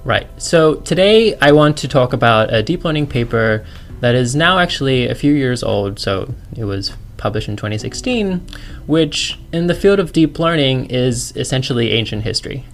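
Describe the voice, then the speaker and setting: A man's voice: mid-range voice, lively intonation, moderate pace, a little hiss or hum. Chatty Australian-accented male lecturer. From China. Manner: chatty, self-deprecating, laughs easily. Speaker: guest lecturer. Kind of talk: casual podcast interview.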